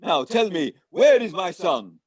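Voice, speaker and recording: A man's voice: high voice, sing-song, measured pace, heavily acted. A man acting a grumpy, impatient, wealthy villain in an amateur pantomime, with a haughty, exasperated theatrical delivery. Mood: grudging, exasperated. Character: grumpy, impatient wealthy villain parent seeking a missing son.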